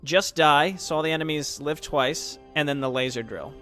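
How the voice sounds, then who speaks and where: A man's voice: fairly high pitch, moderate pace, bright, forceful tone, faint music behind. A man hosting a casual gaming chat with a co-op partner, chatty and laughing often. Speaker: host.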